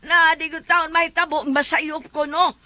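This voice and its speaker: A woman's voice: extremely high pitch, flat delivery, a little slow, very noisy. A woman playing a plaintive, insistent widow in a comedy drama, with a Cebuano Filipino accent. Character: plaintive, insistent widow demanding back her donation. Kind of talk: comedic radio drama acting.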